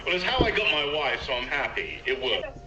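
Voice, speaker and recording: A man's voice: medium pitch, sing-song, fairly quick, sharp tone, reverberant, soft background music, rough recording. A man from London with a British accent, chatting on a video call and laughing easily at himself. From London, England. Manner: laughs often, self-deprecating. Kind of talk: casual chat.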